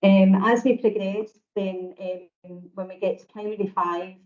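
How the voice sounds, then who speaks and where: A Scottish woman with a booming voice walks colleagues through teaching resources, speaking in a steady, even-pitched way with little melody. The sound is dull and lo-fi, the way a video call comes through. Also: a little slow. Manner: steady, explanatory, calls her own voice booming. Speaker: education presenter. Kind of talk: online meeting presentation.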